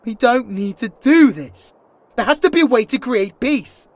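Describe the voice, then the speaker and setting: An actor playing a gentle young angel in anguish, in a fantasy audio drama, with a pleading, faltering, emotional delivery. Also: male voice, extremely high pitch, expressive, normal speed, no echo. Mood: grieving, pleading.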